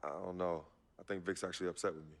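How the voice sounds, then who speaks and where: A young, laid-back basketball star in a game cutscene. His voice is fairly low, and he talks casually with slang, like someone chatting with family. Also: fairly even intonation, fast. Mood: casual, worried. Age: young adult. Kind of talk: cinematic sports game dialogue.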